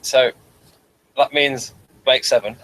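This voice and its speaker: A man chatting casually as co-host of a review show, amused and halting in his delivery. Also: medium pitch, fairly even intonation, fairly bright tone, rough recording. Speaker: podcast co-host reviewing TV episodes. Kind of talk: casual chat.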